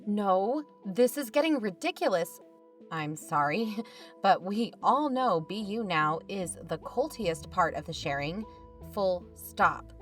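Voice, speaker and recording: A woman's voice: mid-range voice, expressive, takes it slowly, far from the mic, soft background music. An actress playing an outspoken, exasperated investigator who urges her colleagues bluntly in a naturalistic audio drama. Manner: blunt, urging.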